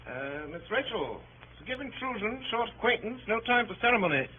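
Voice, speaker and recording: A thin, narrow old radio broadcast carries a scheming, glib swindler. His voice sits fairly high. He fires off clipped fragments with theatrical melodrama over faint noise. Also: very animated, brisk. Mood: urgent conspiring. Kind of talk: 1930s radio acting.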